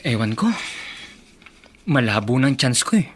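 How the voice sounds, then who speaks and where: An actor playing a chastened young suitor, earnest and regretful, in a Filipino radio drama with a Filipino accent. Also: male voice, mid-range voice, expressive, unhurried, a bit bright, a little hiss or hum.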